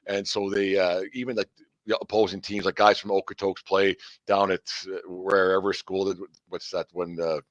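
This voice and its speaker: A man's voice: low-pitched, little pitch movement, moderate pace, no room sound, muffled recording. A middle-aged man hosting a casual sports talk, rambling with lots of fillers and restarts. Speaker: podcast host.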